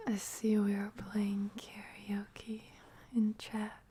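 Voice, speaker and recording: A woman hosting an ASMR stream, chatting casually with viewers in a soft, often whispered voice. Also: mid-range voice, completely flat delivery, takes it slowly, husky. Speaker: ASMR livestream host.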